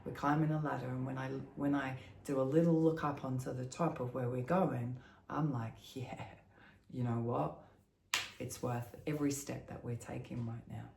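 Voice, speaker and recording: A middle-aged woman gives a weekly spiritual forecast in a chatty, reassuring way and ends her thoughts with "yeah?". Her voice is croaky and gravelly after the flu, with a warm, mellow tone. The room adds a noticeable echo. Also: extremely low pitch, fairly even intonation, moderate pace, slight background noise. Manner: chatty, often asks a tag "yeah?". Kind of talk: casual spiritual chat.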